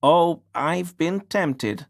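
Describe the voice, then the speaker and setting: Game role: anxious, toadying little bigot of a deliveryman, very animated intonation, slippery and apologetic manner. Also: on the high side, extremely slow, creaky, heavily acted. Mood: confiding. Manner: obsequious, stammering, evasive. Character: nervous, ingratiating male pie deliveryman with bigoted suspicions. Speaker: video game voice actor. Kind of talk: scripted game dialogue.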